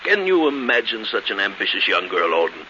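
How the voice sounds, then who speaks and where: Hearty, bright-voiced old uncle. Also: male voice, lowish voice, sing-song, fairly quick. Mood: teasing. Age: older. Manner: hearty, avuncular, teasing. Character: genial teasing old uncle, wealthy invalid patriarch. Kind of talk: 1940s radio acting. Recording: muffled, hissy 1940s radio recording.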